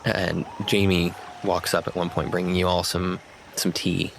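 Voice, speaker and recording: Low-voiced man narrating a tabletop game. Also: completely flat delivery, normal speed, gravelly, a little hiss or hum, rough recording. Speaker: game master.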